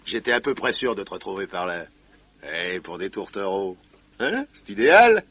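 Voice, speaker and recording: A man's voice: low-pitched, wildly swooping pitch, normal speed, a bit bright, breathy edge, exaggerated voice. A man playing a brutish, mocking ex-boxer gangster with slangy, threatening talk in an old radio crime drama. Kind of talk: 1950s French radio crime drama acting. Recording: dull vintage radio recording.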